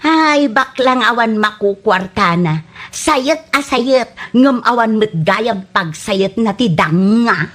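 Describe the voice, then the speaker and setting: A woman's voice: a little high, expressive, slow pace, a bit bright, heavily acted. A woman playing a meddlesome, mocking village wife who gossips and scolds, in an Ilocano radio comedy drama with an Ilocano accent. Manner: complaining, mocking.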